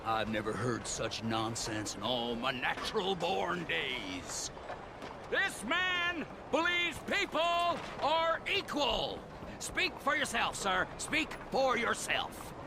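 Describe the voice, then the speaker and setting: High, pompous soapbox zealot. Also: male voice, big pitch swings, slow pace, background noise, heavily acted. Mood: indignant. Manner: pompous, theatrical soapbox oratory. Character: zealous bigoted male street preacher handing out pamphlets, indignant. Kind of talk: video game acting.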